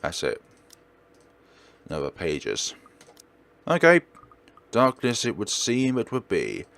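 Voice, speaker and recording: A man's voice: lowish voice, huge pitch swings, takes it slowly, gravelly, fairly bright tone. A man narrating a horror game as a let's player, reading the script aloud theatrically and adding his own commentary.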